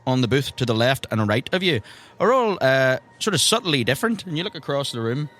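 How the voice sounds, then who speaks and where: A man's voice: medium pitch, big pitch swings, brisk, fairly bright tone. A man working as game master, narrating an improvised tabletop game.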